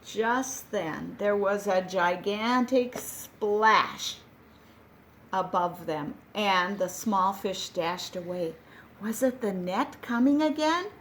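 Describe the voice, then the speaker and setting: A woman reading a children's picture book aloud, gentle and expressive, with dramatic pauses. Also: medium pitch, slight background noise.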